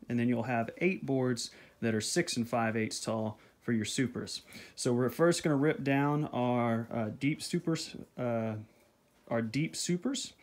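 A man giving step-by-step woodworking instructions in his home workshop, talking plainly, repeating measurements and checking in with "okay?". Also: mid-range voice. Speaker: beekeeping video host. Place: home workshop at a table saw.

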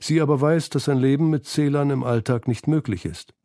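Male narrator for literary feature. Mellow, mid-pitched, recorded dry. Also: fairly quick, no echo. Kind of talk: scripted literary documentary narration.

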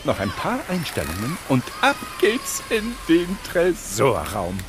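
An actor playing a gloating, irritable diamond thief in a children's audio drama, theatrical and villainous. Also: male voice, on the high side, wildly swooping pitch, unhurried, noisy background.

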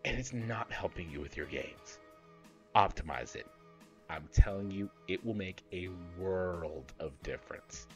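A man in his thirties gives blunt, playful fitness advice straight to camera, his pitch rising and falling with lots of energy. He speaks close to a podcast mic in a small home room, and music plays behind him. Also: a little slow. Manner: animated, blunt and playful, with casual swearing. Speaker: fitness YouTuber host. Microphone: close podcast microphone, seen.

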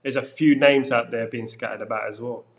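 A man, a guest giving measured, plain-spoken football views over a video call. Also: medium pitch, steady tone. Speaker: guest pundit. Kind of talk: casual football chat. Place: room with brick wall, indoors. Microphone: podcast mic on video call.

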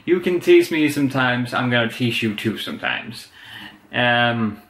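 A man's voice: medium pitch, animated, a little slow, a bit bright. A young man chatting casually as a reaction video host in a small room. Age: young adult. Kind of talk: casual chat, reaction commentary. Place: small indoor bedroom. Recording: echoey room.

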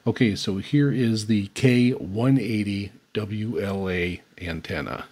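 A man giving an unscripted hands-on demonstration as host, in a halting, hesitant way. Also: fairly low pitch, darkish tone, breathy edge. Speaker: host demonstrating radio antennas. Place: indoor room beside radio desk.

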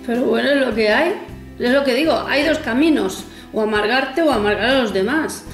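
A woman's voice: fairly high pitch, expressive, moderate pace. Talkative lady in her 40s, rambly and self-mocking, echoey room with a little music behind. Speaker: vlogger. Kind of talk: casual chat to camera.

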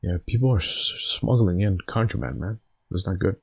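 A man with a slightly raspy, stuffed-up voice giving casual gameplay commentary, thinking aloud in a halting way. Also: sits low, animated. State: stuffy nose after being sick. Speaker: gaming video host.

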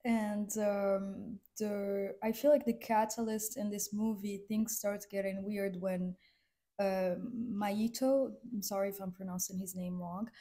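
A woman's voice: mid-range voice, steady tone, a little slow, mellow. Young woman with a sick voice. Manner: thoughtful, hesitant, trailing off. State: sick, voice affected by illness. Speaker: co-host film reviewer. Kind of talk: casual review chat.

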